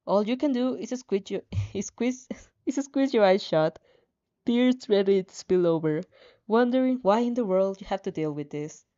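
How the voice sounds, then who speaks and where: A woman reading a story aloud dramatically in the second person, expressive and informal, sometimes tripping over her words. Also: mid-range voice, normal speed. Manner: expressive, stumbles over words and giggles at slips. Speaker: fan fiction reader and reactor. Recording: dull lo-fi sound.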